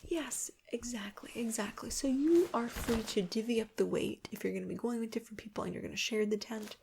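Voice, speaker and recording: A woman speaking softly and often in a breathy whisper, gently and a little hesitantly, as a store clerk in an ASMR role-play. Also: fairly high pitch, fairly quick, background noise. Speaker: host role-playing a camping store clerk. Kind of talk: ASMR role-play, soft-spoken sales help.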